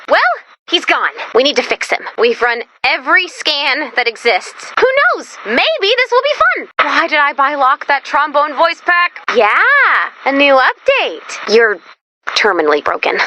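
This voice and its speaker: A woman's voice: high voice, pushed and bright, a little hiss or hum, full character voice. A woman voicing a perky, nervous, geeky female robot assistant in a video game, chatty, quirky and easily flustered. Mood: rueful, then chipper, wry. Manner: flustered, quirky, halting then gushing. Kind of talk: animated video game character voice lines.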